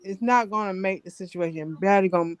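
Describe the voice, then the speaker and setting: Southern woman talking advice on a chat, clear forceful voice, earnest, real dry sound. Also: medium pitch, a little fast, no echo. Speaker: panel guest. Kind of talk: casual advice talk.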